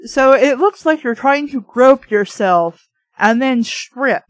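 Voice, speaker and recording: A woman with a somewhat high-pitched voice, recorded very dry with almost no room sound. She reads and reviews a book in an informal, amateur way, speaking frankly as if chatting to viewers. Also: slow, dry recording. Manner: informal, frank asides, mild swearing. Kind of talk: reading a novel aloud with casual commentary.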